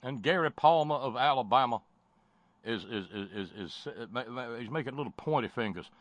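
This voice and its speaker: A man's voice: medium pitch, lively intonation, normal speed, no room sound, lo-fi sound. A man giving sarcastic running commentary over a hearing. He mocks it, piles up slangy insults and stammers on repeated words. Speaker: livestream commentator.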